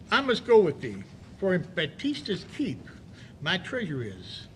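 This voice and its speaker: A man playing a courteous, lovesick gentleman friend, declaiming expressively in a Shakespearean audio play. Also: on the high side, slight background noise. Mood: scheming.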